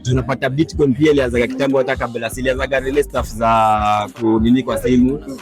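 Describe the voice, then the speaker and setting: A man's voice: mid-range voice, quick pace. A man, talking enthusiastically in a street interview. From Kenya. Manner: lively, enthusiastic, mixing Swahili and English slang. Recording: music playing underneath.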